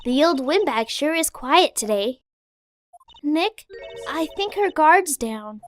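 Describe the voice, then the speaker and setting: A woman's voice: high voice, animated, takes it slowly, soft background music. A woman playing a perky, curious, bubbly teenage girl assistant in a fan-dubbed video game. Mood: excited, eager. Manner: bubbly, girlish.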